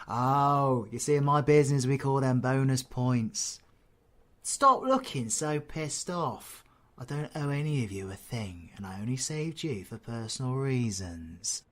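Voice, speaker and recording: A man's voice: medium pitch, animated, a little slow, close to an everyday voice. A man with a British accent playing a cocky, foul-mouthed supervillain in an audio roleplay, full of flippant, mocking banter. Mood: smug teasing.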